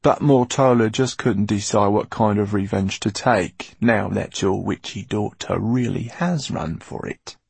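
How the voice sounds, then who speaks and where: A man voicing a menacing, gloating henchman with a soft, silky, cat-like voice in an audiobook. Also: on the low side, a little slow, creaky, hardly any pauses, husky, no room sound, exaggerated voice. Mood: mock apologetic. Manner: mocking, gloating. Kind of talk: audiobook character acting.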